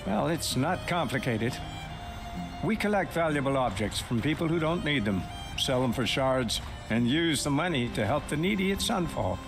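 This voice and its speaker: A righteous thief from a video game, carrying grief and bitterness. His mid-pitched voice is blunt and earnest. Music plays clearly underneath. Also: sing-song, moderate pace. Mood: defiant, bitter. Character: grieving, righteous male thief who feeds the poor. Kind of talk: video game dialogue acting. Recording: music bed behind the voice.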